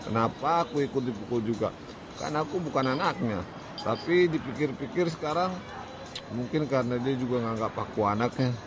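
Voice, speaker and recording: A man's voice: medium pitch, brisk, background noise, lightly acted. An actor playing an easygoing young man chatting with his childhood friend in a radio drama, using casual slang.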